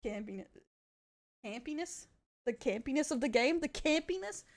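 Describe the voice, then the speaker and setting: Australian woman, high-voiced reaction streamer. Lively swooping delivery, casual, blunt. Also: normal speed, a loud crowd. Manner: animated, blunt, swears casually.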